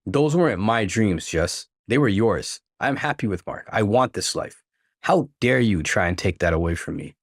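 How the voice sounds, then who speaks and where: A loyal, indignant young wife from a narrated story, read by a man in his low register. The delivery is earnest and emotional, with lively, emphatic swings in pitch. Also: normal speed, no echo, clean studio sound. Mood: cold anger.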